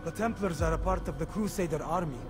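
Higher-voiced, cautious assassin informant played by a man. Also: quick pace, close to an everyday voice. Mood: earnest counsel. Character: cautious, loyal male assassin bureau keeper. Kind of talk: dramatic video game cutscene acting. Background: music.

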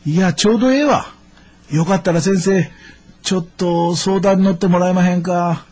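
An actor playing a middle-aged shopkeeper father with a husky voice and Kansai accent in a radio drama, effusively polite. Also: high-pitched, moderate pace, darkish tone. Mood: polite, bothered.

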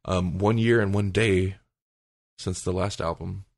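A man's voice: sits low, fairly even intonation, measured pace, vocal fry, dry recording, clean studio sound. A man hosting a podcast in casual chat, with a joking, rambling manner. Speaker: podcast host.

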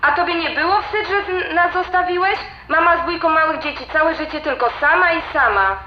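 A woman's voice: very high-pitched, brisk. A woman playing an angry, scornful adult daughter in a radio drama, biting, accusatory and wounded. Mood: accusing. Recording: dull, roomy, radio-like sound, faint noise.

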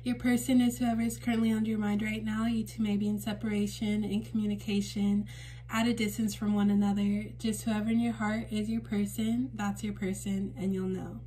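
A woman with a temporarily raspy voice giving a casual spiritual card reading to camera at home, gentle and reassuring with frequent fillers. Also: mid-range voice, little pitch movement, fairly quick, a little hiss or hum, rough recording. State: raspy voice for a few days. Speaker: tarot reader and channel host. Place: indoors at a table in a home room.

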